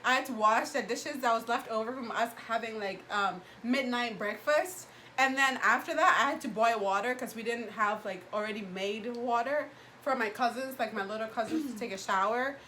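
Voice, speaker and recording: A young woman from Ghana with a fairly high voice chats casually as host of a vlog. She tells stories in a slangy, animated manner. There is faint room noise around her. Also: moderate pace. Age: young adult. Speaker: vlog host asking questions. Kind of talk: casual chat with friends, storytelling.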